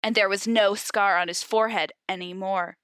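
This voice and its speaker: A woman's voice: medium pitch, fairly even intonation, normal speed, fairly bright tone, clear, resonant tone, no room sound. A woman co-hosting a podcast, giggly and exclamatory as she chats and reads fanfiction aloud. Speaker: podcast co-host. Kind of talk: casual chat and giggly fanfiction read-aloud.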